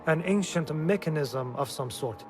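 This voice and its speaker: An actor playing a shady, self-justifying informant in a video game, smooth-talking and evasive. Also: male voice, a little high, lively intonation, moderate pace, faint music behind, natural voice. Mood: evasive, earnest. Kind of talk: dramatic game cutscene acting.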